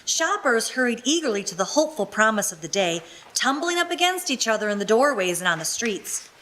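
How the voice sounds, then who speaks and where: A woman's voice: a little high, sing-song, brisk, fairly bright tone, a little hiss or hum. A woman narrating a scripted story as part of a live radio play.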